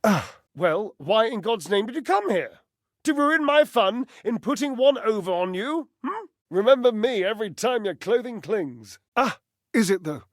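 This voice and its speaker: A male actor voicing a vain, lovesick washing machine in a video game, grandiose, flirtatious and petulant, with theatrical pauses. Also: high voice, sing-song, no echo, studio quality. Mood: teasing, haughty. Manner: grandiose, flirtatious, melodramatic delivery with dramatic pauses. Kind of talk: video game character voice acting.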